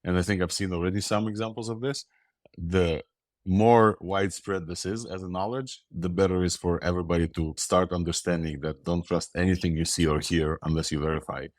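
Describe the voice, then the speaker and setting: Un hombre nacido en Ucrania, de lengua materna rusa, con un acento mixto ruso e israelí, que conduce con entusiasmo un debate informal en un pódcast. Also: male voice, low voice, a little fast, dry recording, clean studio sound. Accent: Russian and Israeli mixed. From Ukraine. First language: Russian. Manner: enthusiastic, animated. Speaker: podcast host. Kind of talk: casual panel discussion.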